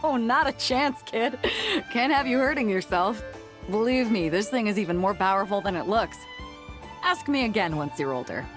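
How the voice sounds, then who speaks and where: A woman's voice: mid-range voice, animated, moderate pace, natural voice. A woman playing a breezy, confident battleship captain with a teasing, informal manner in a video game. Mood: teasing, amused. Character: breezy, confident young female battleship captain hawking weapons. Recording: music behind the voice.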